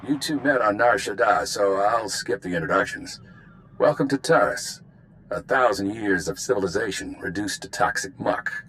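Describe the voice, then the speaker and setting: An actor playing a stern, world-weary spymaster who briefs agents in a clipped, dry, authoritative way in a video game. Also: male voice, fairly low pitch, animated, normal speed, fairly bright tone, a little hiss or hum, rough recording. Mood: stern, wry. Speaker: intelligence commander giving a mission briefing.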